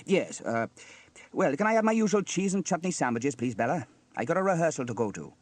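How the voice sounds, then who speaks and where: A man's voice: a little high, big pitch swings, a little fast, no echo, pitched above the performer's own voice. A man voicing an amiable, slightly boastful fireman in a children's story, sometimes sheepish. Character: amiable, boastful male fireman and amateur trumpeter.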